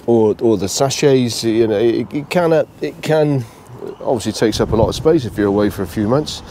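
A British man giving a casual, unscripted walkthrough tour, speaking haltingly and repeating phrases as he goes. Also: mid-range voice, mellow, a little hiss or hum. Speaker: vlogger giving a motorhome tour.

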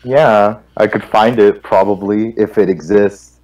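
A young man chatting casually and telling stories in a halting, repetitive way. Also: on the low side, steady tone, a little mellow, low-quality recording. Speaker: guest co-host on a gaming stream. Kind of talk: casual group chat, rambling storytelling.

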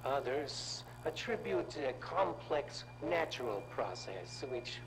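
An actor playing a formal middle-aged community elder in a game, speaking slowly and solemnly with an unplaceable accent. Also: male voice, medium pitch, sing-song, creaky, background noise, exaggerated voice. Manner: slow, solemn explanatory delivery. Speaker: game character guide in full-motion video.

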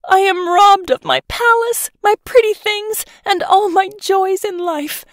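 A woman's voice: extremely high pitch, unhurried, no echo, studio quality, pitched above the performer's own voice. A woman voicing a spoiled, demanding young princess in a theatrical storybook manner. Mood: sobbing, wailing. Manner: huffy, wailing, theatrical.

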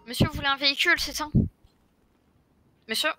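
A woman's voice: high-pitched, a little fast, pushed and bright. A woman talking casually in character as a player in an online role-play game.

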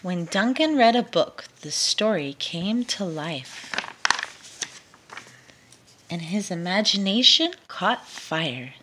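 Expressive, unhurried woman reading a picture book. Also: mid-range voice, noisy background. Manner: expressive, deliberate storytelling. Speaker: storytime reader. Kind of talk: children's picture-book read-aloud.

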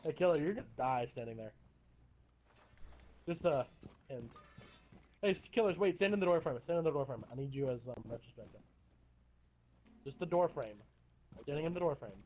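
A young man giving bossy, profane orders to teammates in an online match, his voice worn hoarse. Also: fairly high pitch, a little fast, long pauses, muffled recording. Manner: animated, profane, bossy callouts. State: losing his voice. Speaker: gamer recording his match. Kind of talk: casual gaming banter. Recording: game-chat audio with faint noise.

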